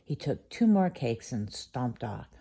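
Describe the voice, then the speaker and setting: A woman's voice: rumbling low, big pitch swings, unhurried, mellow, husky. A woman reading a children's bedtime story aloud as storyteller.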